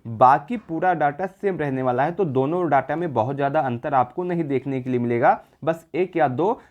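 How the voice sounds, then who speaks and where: A man's voice: on the high side, moderate pace, highs cut off. A man presenting an exam-prep video, explaining figures informally in an assured, repetitive way. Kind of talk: informal explanatory data commentary.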